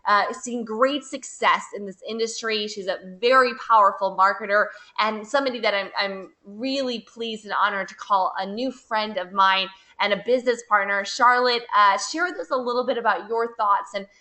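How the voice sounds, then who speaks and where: A woman's voice: mid-range voice, normal speed, hardly any pauses, sharp tone. An American woman leading a team call, introducing the next speaker with praise and some hesitant fillers. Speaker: team leader hosting the call.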